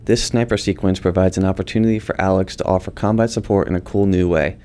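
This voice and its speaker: A man's voice: deep voice, steady tone, quick pace, mellow. A man who works as a game developer, narrating prepared commentary on design goals.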